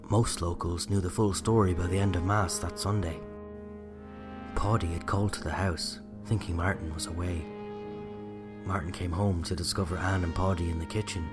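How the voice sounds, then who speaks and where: A man with an Irish accent narrating a scripted true-crime story in a measured, reflective way. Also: sits low, fairly even intonation, loud music behind the voice. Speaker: writer and narrator.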